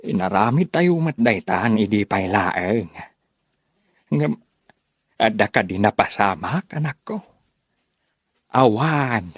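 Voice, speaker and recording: Weary village father for radio drama, male actor: low, unhurried, sighing, resigned, recorded dry. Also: expressive, husky, dry recording, lo-fi sound, full character voice. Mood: gentle, resigned. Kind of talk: radio drama acting in Ilocano.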